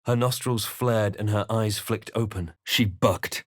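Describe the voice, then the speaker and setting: An actor playing a brooding fantasy adventurer in a game, narrating his quest in a grave, measured, deliberate way. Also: on the low side, a bit bright, no room sound, studio quality. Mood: somber, reflective. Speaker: video game player-character voice. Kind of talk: dramatic first-person game narration.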